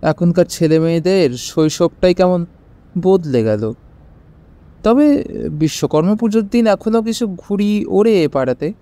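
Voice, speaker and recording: Radio drama: older male local, nostalgic, wistful storyteller, fairly high but mellow. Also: expressive, a little slow. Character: Abinashbabu, nostalgic older neighbourhood gentleman reminiscing. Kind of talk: radio audio-story acting, reminiscing.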